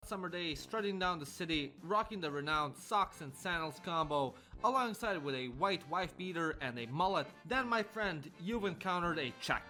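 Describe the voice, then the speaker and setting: A wry, sarcastic male narrator reads a comic script in a mock-scholarly tone, slightly high for a man. Music runs clearly beneath him throughout. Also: expressive, moderate pace, few pauses, pushed and bright. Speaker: video narrator.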